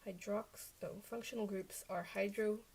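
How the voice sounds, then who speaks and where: A woman's voice: medium pitch, fairly even intonation, moderate pace, vocal fry, a little mellow, breathy edge. A young woman whose first language is Chinese, talking to herself as she checks her study answers on a casual livestream into a desk microphone, often correcting herself.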